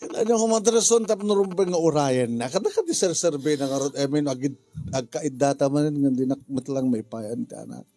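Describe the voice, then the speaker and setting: A man's voice: on the high side, expressive, moderate pace, warm tone, no room sound. A man playing a drunken, quarrelsome husband in radio drama style, with an Ilocano accent. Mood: irritated.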